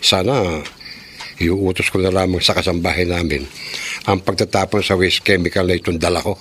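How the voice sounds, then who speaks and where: A scientist in a radio drama, thinking aloud in a low-pitched voice. He explains himself as he goes, with clear ambient noise behind him. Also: fairly even intonation, normal speed, a bit bright. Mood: musing. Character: male home-lab scientist talking to himself. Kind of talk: radio drama monologue acting.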